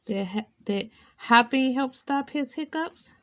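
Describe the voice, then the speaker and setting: A woman's voice: high-pitched, animated, takes it slowly, fairly bright tone. A woman chatting casually as she coaches and playfully prompts a child. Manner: encouraging, playful teasing. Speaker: adult helper prompting a child reader.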